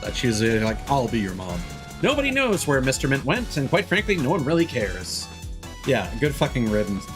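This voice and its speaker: A man's voice: mid-range voice, big pitch swings, brisk. A man streaming a game, reading its text aloud and adding informal, wry commentary. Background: game music.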